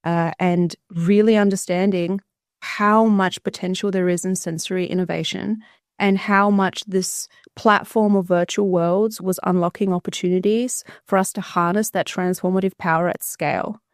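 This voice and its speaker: A woman's voice: fairly low pitch, steady tone, normal speed, dry recording, clean studio sound. A woman, an articulate podcast guest telling a personal story conversationally and fluently. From Australia, born in Bulgaria.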